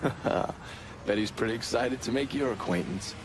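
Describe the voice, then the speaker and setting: An actor playing an easygoing, wry underworld ally with a casual delivery in a video game cutscene. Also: male voice, mid-range voice, unhurried, background noise, lightly acted.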